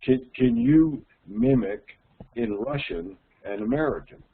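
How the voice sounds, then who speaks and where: A man's voice: mid-range voice, animated, measured pace, breathy edge. A man teaching English in an online lesson, often repeating words as he starts a sentence. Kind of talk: casual online conversation. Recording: tinny online call audio with faint noise.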